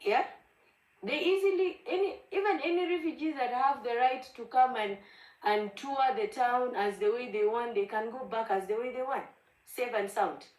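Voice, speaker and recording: A woman's voice: a little high, normal speed, darkish tone, roomy sound, low-quality recording. A woman from Ethiopia with a Kenyan accent, arguing insistently in a heated online debate. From Gambela, Ethiopia. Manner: passionate, insistent, repeats honestly. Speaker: panel participant.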